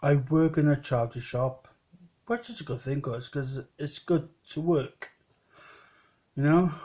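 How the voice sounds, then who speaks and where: A man's voice: fairly high pitch, measured pace, warm tone. A man in his late thirties talking in a rambling personal vlog, often repeating himself and restarting. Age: 30s. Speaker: vlogger.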